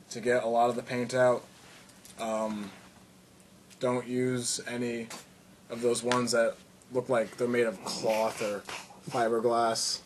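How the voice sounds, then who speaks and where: Man, mid-pitched, casual how-to presenter, loose and rambly, occasional cough, faint hiss behind. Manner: relaxed, rambling, many fillers and restarts. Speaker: video tutorial maker.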